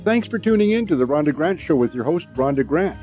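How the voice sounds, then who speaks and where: Man, announcer, fairly high voice, brisk scripted outro, faint music behind. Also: lively intonation, dark tone. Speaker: show announcer.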